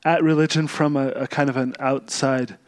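A man who is a monk, raised between Austria and the U.S., speaking as a guest on a podcast. He is thoughtful and often pauses to search for words. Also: mid-range voice, fairly even intonation. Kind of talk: podcast interview.

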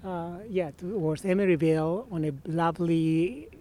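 A man in his sixties with an Argentine Spanish accent, a guest telling stories in a casual interview outdoors by a marina, animated and often trailing off to restart his phrases. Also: on the high side, normal speed. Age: 60s.

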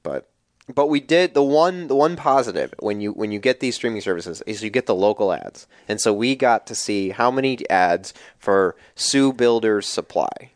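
A man's voice: fairly low pitch, expressive, normal speed, no room sound, clean studio sound. A man co-hosting a casual sports podcast, rambling and joking with plenty of restarts. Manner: rambling, jokey, lots of restarts. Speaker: podcast co-host.

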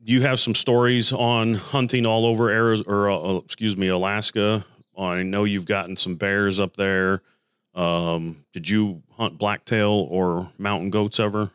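A man with a deep voice hosts a casual interview, easygoing and admiring as he chats with his guest. His tone is smooth and resonant, recorded dry and close. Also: steady tone, measured pace, a bit bright, dry recording. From Arizona. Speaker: podcast host.

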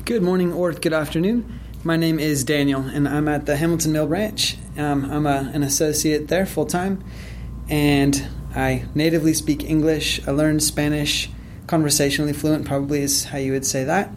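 A man's voice: fairly high pitch, fairly even intonation, normal speed, slight background noise. A man who works as a library associate, speaking in a polite, easygoing way in a panel discussion.

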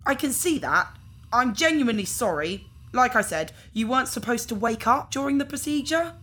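Woman acting husky, awkward female organ harvester. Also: on the high side, lively intonation, normal speed, fairly bright tone. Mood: matter-of-fact, apologetic. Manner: nonchalant, hesitant, politely awkward. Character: awkward, apologetic, casual female illegal organ harvester in space. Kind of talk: science fiction audio drama acting.

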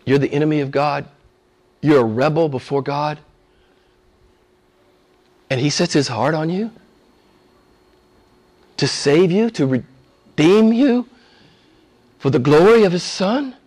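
A man preaching a sermon with fervor, hoarse from a cold and losing his voice, dropping to a hush and rising to shouts. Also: on the high side, slow pace, long pauses. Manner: fervent, swings from hushed to shouting. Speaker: pastor.

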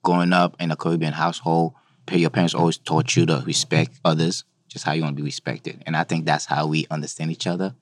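A man's voice: extremely low pitch, little pitch movement, quick pace, dry recording, clean studio sound. A man from Haiti, a guest business owner, speaking in a plain, earnest way that repeats his points. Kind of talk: studio interview. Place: studio.

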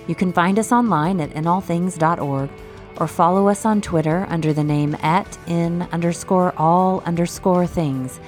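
A woman's voice: fairly low pitch, normal speed, darkish tone. A woman announcer reading scripted podcast credits. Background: music.

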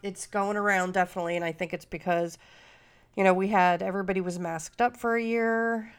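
A woman's voice: medium pitch, fairly even intonation, a little fast, clean tone. A middle-aged woman hosting a podcast, talking casually, nasal and stuffy from a cold, rambling with many fillers. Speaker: podcast host.

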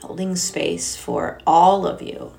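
Low, creaky-voiced woman guiding meditation. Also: animated. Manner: gentle, soothing, unhurried with long pauses. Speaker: meditation and yoga teacher. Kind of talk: guided meditation. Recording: soft music behind the voice. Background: music.

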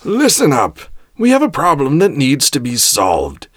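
A man's voice: mid-range voice, big pitch swings, normal speed, no echo, clean studio sound, pitched above the performer's own voice, natural voice. A man voicing a domineering, impatient female Grand Master of a space order in a story, barking commands. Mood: barking, urgent. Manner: commanding, shouting.

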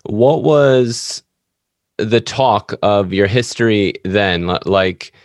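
A man hosting an interview podcast asks follow-up questions in a low voice. He drops in short affirmations while his guest talks. His sound is close and dry, with no room around it. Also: takes it slowly, dry recording. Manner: brief backchannel affirmations. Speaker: podcast host.

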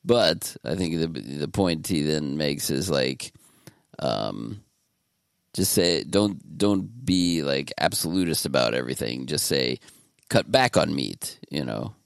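A man's voice: a bass voice, lively intonation, slow pace, vocal fry, no echo, clean studio sound. A man hosting a podcast in casual chat, talking in a laid-back, meandering way. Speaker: podcast host.

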